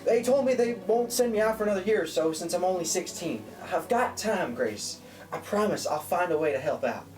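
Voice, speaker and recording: Low, sheepish teenage boy played by a woman. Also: animated, darkish tone, far from the mic, natural voice. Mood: earnest, apologetic. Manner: halting, apologetic, earnest. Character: sheepish, well-meaning sixteen-year-old boy. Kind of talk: holiday radio play acting. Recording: recorded away from the mic.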